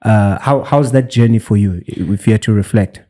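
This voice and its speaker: A man's voice: lowish voice, moderate pace, dark tone. A man hosting a podcast in a studio, chatty and teasing, with frequent fillers as he asks questions. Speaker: podcast host. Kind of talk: casual interview.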